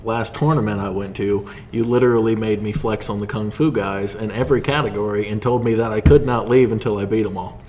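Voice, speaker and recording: A man with a fairly low voice talks casually as a podcast guest. He rambles through stories and mimics other people's remarks, with faint noise behind him. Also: fairly even intonation, brisk, hardly any pauses. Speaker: podcast guest, martial artist.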